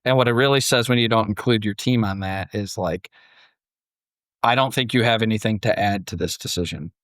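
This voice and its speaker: A man's voice: on the low side, a little fast, a bit bright, no echo. Male podcast host with a dry, close sound. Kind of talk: scripted storytelling and casual discussion.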